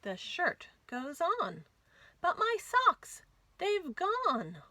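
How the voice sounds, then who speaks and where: A woman hosting a children's storytime, reading picture books aloud in an expressive, sing-song way. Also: sits high, extremely slow, dry recording. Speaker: children's library storytime host. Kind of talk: animated picture-book read-aloud for young children.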